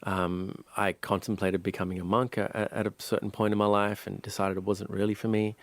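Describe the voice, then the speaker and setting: Man from Sri Lanka, meditation teacher in an interview; deep voice, unhurried and reflective, dry close studio sound. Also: no room sound. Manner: calm, thoughtful, many pauses and restarts.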